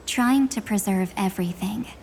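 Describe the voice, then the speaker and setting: A woman voicing a cool, world-weary antagonist in a video game, measured, condescending and quietly mocking. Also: medium pitch, a little hiss or hum, natural voice. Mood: dismissive, grave.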